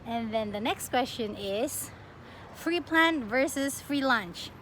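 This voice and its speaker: A young woman from the Philippines chatting casually as a plant vlogger, expressive and often breaking off mid-sentence. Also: a little high, slow pace. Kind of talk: casual get-to-know-me question-and-answer chat. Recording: clearly noisy home recording.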